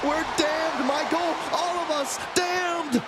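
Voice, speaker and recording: A man's voice: very high voice, steady tone, slow pace, heavy background noise. A man playing a theatrical wrestling commentator in a video game, calling the action with dramatic emphasis. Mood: alarmed. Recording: loud music under commentary.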